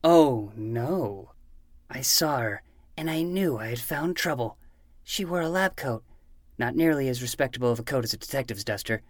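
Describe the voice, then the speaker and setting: A woman's voice: a bass voice, animated, normal speed, no echo, studio quality. A woman playing an earnest twelve-year-old boy who talks like a grandiose noir detective, sometimes slipping into childish pleading, in a fiction podcast. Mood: brooding noir narration.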